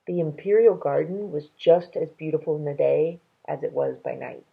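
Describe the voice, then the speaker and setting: A woman storyteller reads a children's novel in a low voice with a gravelly creak. Her delivery is slow and measured, and the recording sounds thin and tinny, like a narrow band. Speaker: read-aloud storyteller. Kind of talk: reading a children's novel aloud.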